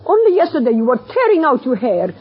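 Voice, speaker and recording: An actress playing a haughty, hot-tempered middle-aged opera diva, dismissive and theatrical, in 1940s radio style. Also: female voice, sits high, very animated, fast, husky, heavily acted. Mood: angry, suspicious. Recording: muffled vintage radio recording.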